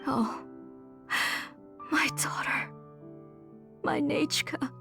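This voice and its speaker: A woman's voice: high-pitched, very slow, creaky, over music, full character voice. A woman voicing an older, doting mother in a video game, with a fussing, motherly manner. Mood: grief-stricken. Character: grieving, doting older mother, retired forger.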